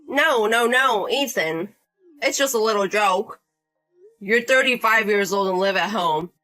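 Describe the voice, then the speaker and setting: A woman's voice: medium pitch, measured pace, pushed and bright, faint music behind, poor audio. A woman voicing a bullying, entitled older brother-in-law in his thirties, demanding and condescending. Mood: dismissive.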